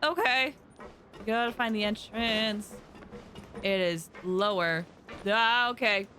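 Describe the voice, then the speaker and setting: A woman's voice: mid-range voice, takes it slowly, noisy background. A woman livestreaming a game, chatting casually and commenting as she plays. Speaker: game streamer. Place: indoors, livestream set-up. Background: game music.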